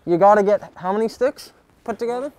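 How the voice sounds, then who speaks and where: A young man outdoors giving a jokey sports tutorial, coaching in a mock-serious, deadpan way full of hockey slang. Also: high voice, fairly quick, clean tone. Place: backyard, outdoors. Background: music.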